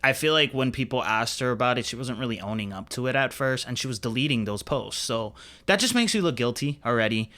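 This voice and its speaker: A man talks as a podcast co-host in a casual, conversational style. His voice is bright and clean, with a smooth resonance. He is opinionated and emphatic, and uses plenty of slang. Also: mid-range voice, lively intonation, fast talker. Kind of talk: casual pop culture chat.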